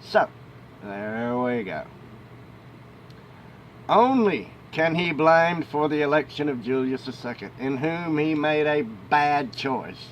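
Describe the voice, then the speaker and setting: A man's voice: expressive, takes it slowly. A man reading a historical book aloud as an amateur narrator, with emphatic phrasing and the odd stumble. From United States. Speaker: amateur audiobook reader. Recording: noisy home recording with ambient rumble.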